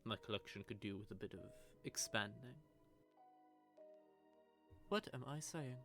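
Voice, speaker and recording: A man playing a smug, sardonic vampire who taunts a captive in a teasing, drawling, conversational monologue, with a gravelly voice. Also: fairly low pitch, a little slow, fairly bright tone. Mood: teasing, gloating. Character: smug, sardonic male vampire gloating over a captive hunter. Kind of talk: scripted audio roleplay monologue addressed to the listener. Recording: background music under the voice.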